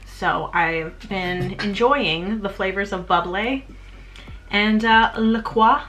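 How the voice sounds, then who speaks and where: A young woman chats at home in a casual vlog. She is bubbly and self-mocking, quick with jokes and laughter. Her voice is bright and clear, and faint background music runs underneath. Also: medium pitch, low-quality recording. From Canada. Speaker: vlogger. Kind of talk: casual snack review vlog.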